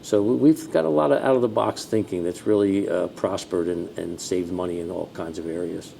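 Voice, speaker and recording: A man's voice: fairly quick, vocal fry. A middle-aged American man, a fire chief, answering interview questions in a plain-spoken, matter-of-fact, conversational way. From New Jersey, United States. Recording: steady background noise with low rumble.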